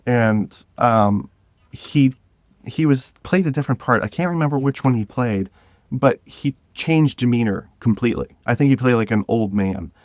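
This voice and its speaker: A man talking casually and enthusiastically as an interview guest, in an informal, halting way. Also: medium pitch, dry recording, studio quality. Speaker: podcast guest, drama creator.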